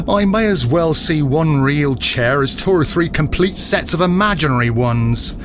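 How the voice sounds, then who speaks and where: Man playing Tom Smart, an excitable baffled traveller. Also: fairly high pitch, measured pace, pauses often, noisy background. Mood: bemused, drowsy. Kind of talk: theatrical audio drama acting and narration.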